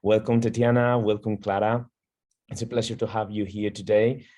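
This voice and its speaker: A man's voice: fairly low pitch, flat delivery, moderate pace, warm tone. A man hosting a webinar, welcoming guests and asking them to introduce themselves, enthusiastic and encouraging. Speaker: host.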